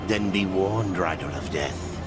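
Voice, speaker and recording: An actor playing a solemn, foreboding old keeper of dark secrets in a fantasy video game, speaking in a portentous, oratorical manner. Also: male voice, low-pitched, unhurried, over loud music. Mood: ominous warning. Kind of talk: dramatic video game voice acting.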